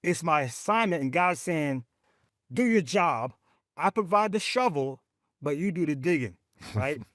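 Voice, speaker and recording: A man with a slightly high voice talks in a podcast conversation about veterans' causes, in a dry, close recording. He tells stories with emphatic, animated drive and often speaks of faith. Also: a little slow, dry recording. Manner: rising pitch when excited. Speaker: veteran advocate guest.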